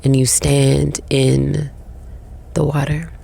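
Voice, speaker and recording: A woman leading a guided meditation in a slow, soothing way, with long pauses between short phrases. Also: sits low, flat delivery, vocal fry, warm tone, breathy edge.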